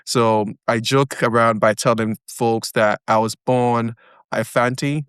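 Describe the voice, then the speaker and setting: Ghana-born guy, 50s, smooth clear voice, dry sound, lots of uhs as he talks. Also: mid-range voice, fairly even intonation, fairly bright tone, dry recording. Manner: measured, many hesitations. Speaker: guest. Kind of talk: interview conversation.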